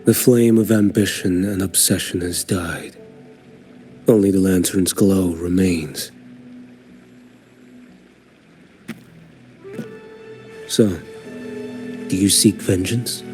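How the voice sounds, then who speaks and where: An actor playing a grave male arbiter who makes measured, formal pronouncements in a video game. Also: low-pitched, steady tone, darkish tone, faint music behind.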